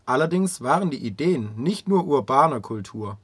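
A man's voice: mid-range voice, animated, moderate pace, breathy edge, no room sound. A man reading scripted narration as the presenter of a radio feature.

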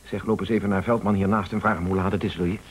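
An actor in a Dutch radio play playing a fussy, obstinate husband with an insistent, indignant, bossy way of talking. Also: male voice, low-pitched, little pitch movement, rapid-fire, a little hiss or hum, natural voice. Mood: agitated, bossy.

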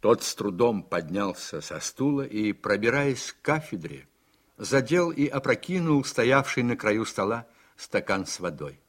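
A man narrating a radio play in a measured, scripted storytelling manner. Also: lowish voice, sing-song.

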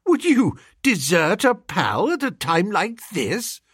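A man voicing a flustered, excitable upper-class young Englishman who pleads with his pals in an audiobook story. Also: sits high, a little slow, breathy edge, no echo, clean studio sound, voice pushed up, full character voice. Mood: pleading.

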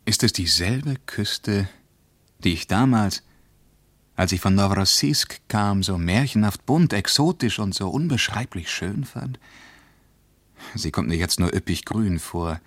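An actor playing a reflective, disenchanted traveller reading his diary in a radio play, measured and sober. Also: fairly low pitch, lively intonation, a bit bright, no room sound, full character voice.

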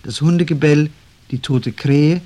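Male announcer, scripted 1970s radio introduction, moderately high register. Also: unhurried, dark tone.

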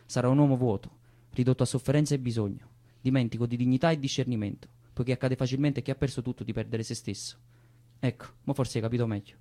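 Radio drama: male actor as determined, anguished militant, southern Italian accent, insistent, rapid delivery. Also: mid-range voice, fairly even intonation, dark tone, lightly acted. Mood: grave, anguished. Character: determined, anguished young militant man protecting his brother. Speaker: stage actor. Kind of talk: naturalistic radio theatre dialogue.

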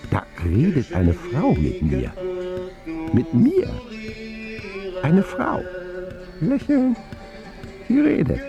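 A man's voice: on the high side, wildly swooping pitch, extremely slow, long pauses, muffled recording, music in the background, heavily acted. An actor playing a man wryly recounting his boyhood in vivid first-person radio drama narration. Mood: excited.

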